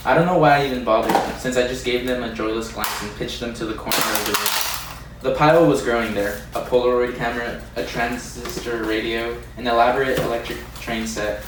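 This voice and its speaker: A man's voice: medium pitch, close to an everyday voice. A man reading a scripted readers' theatre part as a narrator looking back on boyhood. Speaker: student performer. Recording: echoey room, distant mic, noisy.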